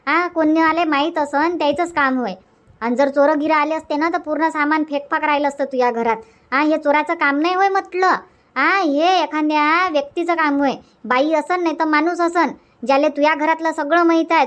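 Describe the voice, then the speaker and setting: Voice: sits high, a bit bright. A cartoon performer voicing an opinionated village auntie, emphatic, repetitive and scolding. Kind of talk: Marathi cartoon comedy dubbing.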